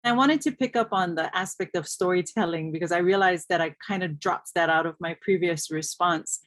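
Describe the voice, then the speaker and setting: A woman's voice: on the low side, fairly quick, fairly bright tone. A woman from Hawaii speaking articulately as a panelist, reflecting personally and building emphatic lists. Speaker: panelist, scholar.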